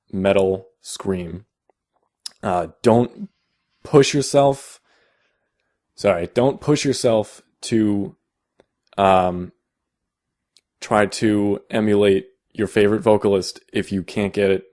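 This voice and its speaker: A young man with a deep voice, explaining casually in a how-to video, halting with many fillers and restarts. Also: mellow. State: out of vocal shape. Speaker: vocal tutorial maker.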